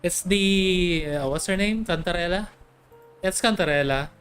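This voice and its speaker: Offhand game streamer with mid-pitched voice. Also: moderate pace, a bit bright. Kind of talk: casual gameplay commentary. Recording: faint game music behind.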